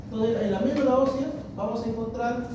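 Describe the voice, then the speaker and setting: A man's voice: extremely high pitch, steady tone, brisk, recorded from a distance, lo-fi sound, background noise. A male histology professor lecturing a class, repeating and restarting phrases and asking students questions. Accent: Peruvian Spanish. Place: large echoey classroom. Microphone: distant room mic. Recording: echoey classroom recorded from afar.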